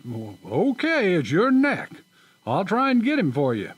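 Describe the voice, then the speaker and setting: A man's voice: high voice, huge pitch swings, a little slow, breathy edge, heavily acted. A man acting a flippant, reluctant government official in a radio drama. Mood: grudging.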